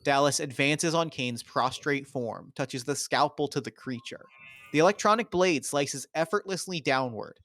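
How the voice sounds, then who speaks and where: A man's voice: on the high side, moderate pace, fairly bright tone, no room sound. A man doing scripted narration, reading out the stage directions of a screenplay at a table read.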